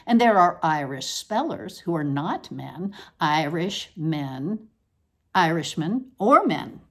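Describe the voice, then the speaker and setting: A woman with a fairly low voice reads literary prose aloud as a performance. Her delivery is deliberate and declamatory, with firm emphasis on words that come round again. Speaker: reader of a literary essay. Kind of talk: scripted dramatic reading of a literary parody.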